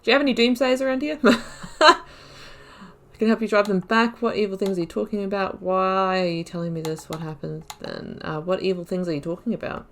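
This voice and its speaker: A woman's voice: medium pitch, steady tone, fairly quick, a bit bright. A woman giving casual let's play commentary, chattily thinking aloud and reading dialogue choices. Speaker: gaming video host.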